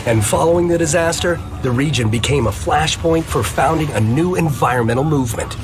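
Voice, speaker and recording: A man's voice: a little high, a little fast, close to an everyday voice. A man narrating a scripted comic-book history in an expository, emphatic, dramatized way. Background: music.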